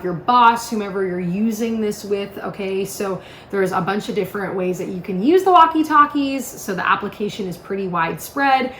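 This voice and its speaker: A woman's voice: medium pitch, a bit bright. Echoey woman giving a casual product demo. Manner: chatty, informal, instructional. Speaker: product demonstrator. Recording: roomy echo, faint background noise.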